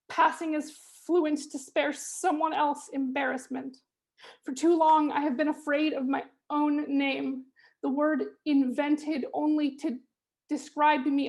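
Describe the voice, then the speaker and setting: Slow high-voiced woman reading her poetry. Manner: stuttering, measured rhythmic delivery. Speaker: poet reading her chapter. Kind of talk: spoken word poetry reading. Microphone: video call.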